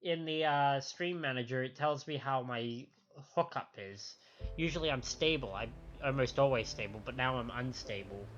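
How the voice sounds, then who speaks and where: A man's voice: mid-range voice, moderate pace, a bit bright, highs cut off. A man giving casual live commentary as a game streamer. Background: faint game music.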